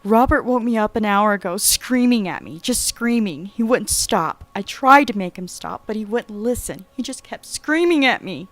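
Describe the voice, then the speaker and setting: A man's voice: high-pitched, lively intonation, normal speed, few pauses, fairly bright tone, pitched above the performer's own voice. Jenny, a man putting on a frantic young woman. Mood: panicked. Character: frightened young woman, panicked and tearful.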